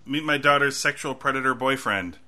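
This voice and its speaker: A man's voice: medium pitch, fairly quick, pushed and bright. A man hosting a casual chat about movies, laughing and restarting his sentences.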